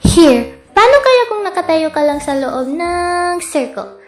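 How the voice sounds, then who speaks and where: Bossy animatronic clown girl, female performer: gushing, theatrical, very high, roomy recording with faint music. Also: sing-song, unhurried, full character voice. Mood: bossy, cheery. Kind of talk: Tagalog fan-dub cartoon acting.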